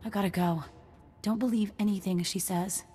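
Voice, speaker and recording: A woman's voice: mid-range voice, steady tone, normal speed, warm tone, soft background music, lightly acted. A woman playing a tough, wry outlaw in a video game, blunt, streetwise and slangy. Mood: resigned, blunt.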